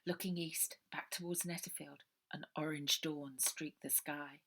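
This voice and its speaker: A woman author reading her own children's adventure novel aloud, lively, dropping to a whisper for suspense. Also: low voice, a little slow. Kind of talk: storybook narration for children.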